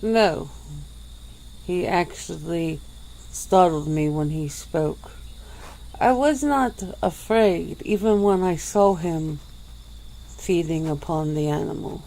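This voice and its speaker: A woman voicing a centuries-old female vampire, calm and regretful, speaking slowly and formally in an ASMR roleplay. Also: fairly low pitch, rough recording. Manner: slow, measured, formal speech.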